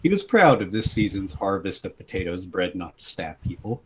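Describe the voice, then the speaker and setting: A man's voice: low-pitched, very animated, moderate pace, slightly rough. A man doing a dramatic reading of a comic story in a casual voice chat.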